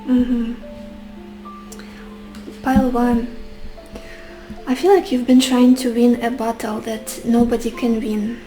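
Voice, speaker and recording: A woman, a little sick and losing her voice, giving a gentle tarot reading to her viewers and musing aloud with soft hesitations. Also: fairly high pitch, monotone, slow, pauses often, soft background music, rough recording. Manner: gentle, addresses viewers warmly as beautiful souls. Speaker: tarot reader.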